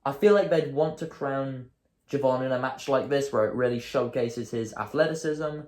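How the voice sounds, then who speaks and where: Hoarse man chatting in an echoey room. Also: mid-range voice, normal speed, darkish tone. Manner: thinking aloud, chatty and self-correcting. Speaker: host. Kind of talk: casual solo commentary.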